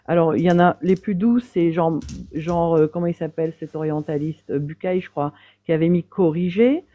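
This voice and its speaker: A woman's voice: on the low side, fairly even intonation, normal speed, no echo. A woman calling into a live debate, defending her view in a hesitant way with many fillers. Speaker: debate caller. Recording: dry tinny phone line.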